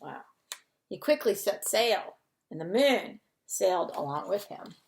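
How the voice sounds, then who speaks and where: A woman's voice: mid-range voice, sing-song, unhurried, vocal fry. A woman reading a children's picture book aloud as a bedtime story, expressively, with chatty asides to young listeners.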